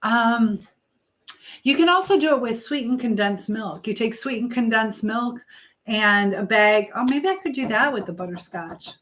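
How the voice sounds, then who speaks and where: A woman's voice: a little high, animated, moderate pace, fairly bright tone. A woman hosting a casual live chat, rambling and trailing off mid-thought as she talks to viewers and reads their comments. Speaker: live stream host.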